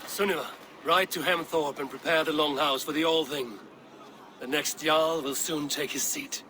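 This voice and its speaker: An actor playing a steady young Viking warrior who reassures his friend and gives orders. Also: on the high side, normal speed, background noise, close to an everyday voice. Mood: commanding.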